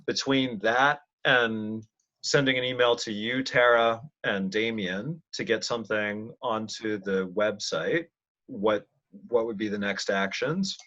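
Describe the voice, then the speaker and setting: A man leading a casual work conference call, thinking aloud as he presents and often pausing to restart his sentences. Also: lowish voice, bright, forceful tone. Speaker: meeting leader presenting slides. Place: online video conference call. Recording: muffled, lo-fi conference call audio.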